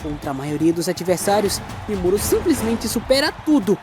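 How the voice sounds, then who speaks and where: A man voicing an excitable young anime character with a Brazilian accent, giving dramatic, exclamatory commentary in a scripted animated debate. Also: sits high, normal speed. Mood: explanatory.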